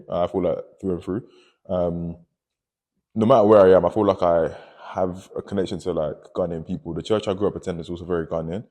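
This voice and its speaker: A man talks in a very deep, mellow voice. He speaks quickly but hesitantly, with frequent ums and uhs. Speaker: panel guest. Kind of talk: casual discussion.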